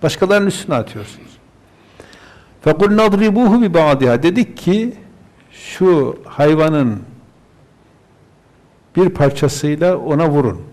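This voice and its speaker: A man's voice: a little high, animated, measured pace, pauses often, darkish tone. A man, a religious scholar, reciting scripture verses and then explaining them in plain terms.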